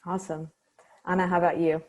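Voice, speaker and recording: A woman's voice: on the low side, flat delivery, quick pace, darkish tone, poor audio. A woman moderating a panel, introducing the speakers upbeat and friendly over a video call. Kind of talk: hosting a panel.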